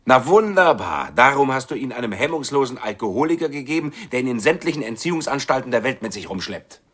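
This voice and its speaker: A sardonic, probing man in a radio drama. He talks quickly in a husky voice of middling pitch. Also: sing-song, a bit bright. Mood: sarcastic. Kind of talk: German radio play acting.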